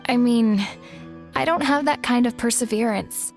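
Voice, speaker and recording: A woman's voice: a little high, unhurried, faint music behind. A woman voicing a discouraged, self-doubting young flyer in a video game, hesitant and downcast.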